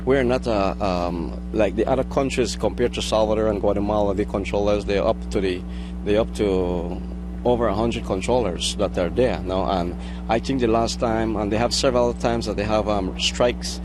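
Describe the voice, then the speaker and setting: A middle-aged man with a Belizean accent giving an unscripted news interview, speaking haltingly and rephrasing as he goes. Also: fairly low pitch, steady tone, noisy background. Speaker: air traffic controller interviewee.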